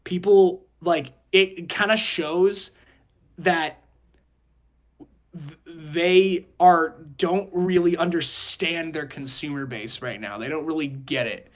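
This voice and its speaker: Young male, high register, opinionated podcast talker, rambling and emphatic. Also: fairly even intonation, slow pace. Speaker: podcast host. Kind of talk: casual opinionated podcast discussion.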